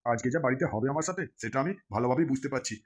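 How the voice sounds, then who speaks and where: A man's voice: medium pitch, lively intonation, rapid-fire, slightly rough. Man voicing a broke, gloomy fish seller. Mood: brooding. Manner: brooding, then crude and snappy. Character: hard-up male fish seller, gloomy and short-tempered. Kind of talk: Bengali comedy cartoon dialogue.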